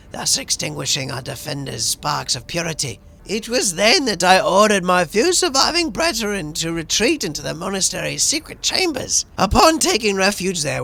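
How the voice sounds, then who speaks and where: High, creaky zealous cyborg priest. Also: male voice, bright, forceful tone, full character voice. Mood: grave, mournful. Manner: formal, ceremonious, preachy. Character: solemn zealous cyborg priest, guardian of a sacred monastery. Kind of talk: theatrical video game dialogue.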